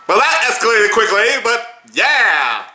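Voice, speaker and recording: Animated man reacting with playful commentary. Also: sits high, unhurried. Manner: teasing, playful asides. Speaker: reaction video host. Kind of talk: casual running commentary. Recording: roomy sound, music and drama audio behind.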